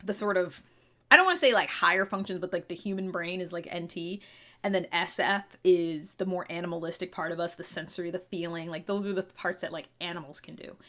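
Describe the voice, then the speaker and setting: A woman's voice: on the low side, a little fast, a bit bright. Chatty woman explaining personality types. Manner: chatty, frequent fillers and restarts. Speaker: podcast host. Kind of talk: casual explanatory monologue.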